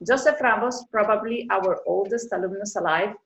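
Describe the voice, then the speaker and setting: A woman opens an online event with prepared welcome remarks. Her mid-pitched voice stays measured and orderly as she introduces the guest and the moderator. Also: fairly bright tone, lo-fi sound. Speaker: event host.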